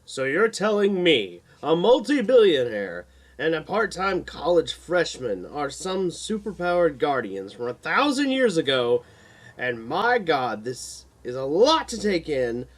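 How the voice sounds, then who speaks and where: A man's voice: on the high side, big pitch swings, measured pace. A man voicing a hot-headed young college student with superpowers in an audiobook, exclamatory and easily frustrated. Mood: frustrated, incredulous.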